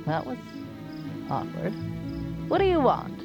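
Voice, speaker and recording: An actress playing a prickly, pedantic reclusive novelist in an audio drama, curt and wordy with suspicion. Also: female voice, a little high, wildly swooping pitch, a little slow, lo-fi sound, over loud music, full character voice. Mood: wary, threatening.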